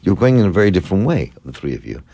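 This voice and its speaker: A man's voice: low voice, sing-song, racing, warm tone. A man hosting a call-in radio talk show, rambling and restarting his sentences as he works toward his questions. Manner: rambling, repeats words while searching for a point. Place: radio studio.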